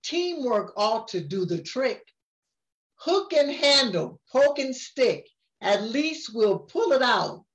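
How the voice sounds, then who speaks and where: An older woman reading a rhyming picture book aloud in a gentle, unhurried way, often chuckling. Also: fairly high pitch, very animated, dull audio.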